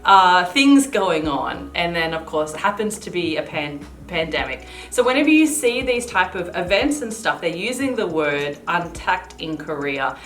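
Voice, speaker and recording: A woman's voice: lowish voice, very animated, normal speed, hardly any pauses. A woman in her thirties co-hosting a casual chat, talking freely with plenty of fillers. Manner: chatty, uses many fillers. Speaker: co-host. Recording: echoey room with faint hiss.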